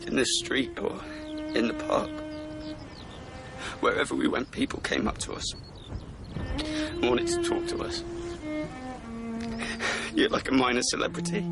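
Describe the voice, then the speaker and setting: A man's voice: extremely high pitch, gravelly, heavily acted. A young-sounding man playing a sensitive, practical teenage son who loves his dog, in a naturalistic radio drama.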